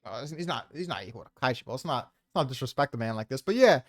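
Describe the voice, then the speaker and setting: A man's voice: medium pitch, expressive, very fast, crowd noise. A man debating casually, argumentative and loosely profane as he makes his case. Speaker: debater on a manga ranking podcast.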